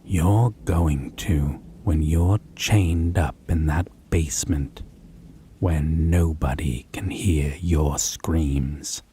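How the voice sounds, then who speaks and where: A man playing a possessive, menacing male vampire in a dramatic audio roleplay, husky and breathy, with taunting, drawn-out phrasing and whispered words. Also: very deep voice, warm tone, a little hiss or hum, exaggerated voice. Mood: threatening, angry. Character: possessive, menacing, predatory male vampire, wounded yet domineering.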